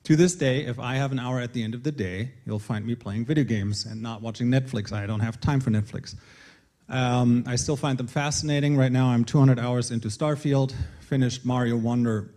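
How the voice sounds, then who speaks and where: A man's voice: mid-range voice, steady tone, normal speed, mellow. A middle-aged man from northern Germany with a German accent, giving a personal talk to an audience in an earnest, lightly self-deprecating way. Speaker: conference speaker, games creative director.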